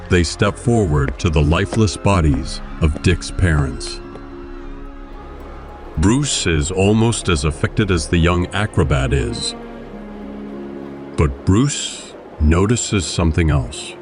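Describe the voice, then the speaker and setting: A man's voice: deep voice, animated, takes it slowly. A man giving scripted narration for an audio drama. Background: music and crowd sounds.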